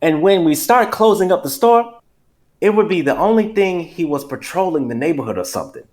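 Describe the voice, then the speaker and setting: A suspicious, opinionated male store cashier, acted by a man in a script reading. His voice sits fairly high and moves up and down a lot. He sounds insistent, dramatic and gossipy. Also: very animated, a little fast, a little mellow. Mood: confiding.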